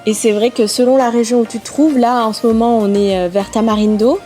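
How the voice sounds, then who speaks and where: A fairly high-voiced woman talks casually in a travel vlog, self-mocking and filling pauses with hesitant sounds. She is recorded outdoors on a camera mic, with faint music underneath. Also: steady tone, dark tone. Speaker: vlogger. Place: outdoors in hot weather.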